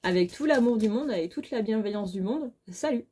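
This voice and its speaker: A woman's voice: a little high, lively intonation, fairly quick, dark tone, breathy edge. A very tired woman giving a casual, chatty tarot reading, speaking informally and bluntly with mild swearing. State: very tired, short of sleep. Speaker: tarot card reader.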